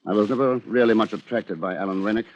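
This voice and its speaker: An actor playing a dry, resentful British bachelor lawyer with a measured, controlled manner, in 1940s radio drama. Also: male voice, lowish voice, natural voice. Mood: grim, narrating. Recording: dull lo-fi old radio recording.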